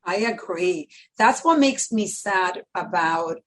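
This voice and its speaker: A woman's voice: on the low side, expressive, slow pace, fairly bright tone, slight background noise. Middle-aged woman with a Dominican Spanish accent. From Dominican Republic. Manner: emphatic, repeats phrases. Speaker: podcast guest, medical center executive. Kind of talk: personal storytelling in an interview.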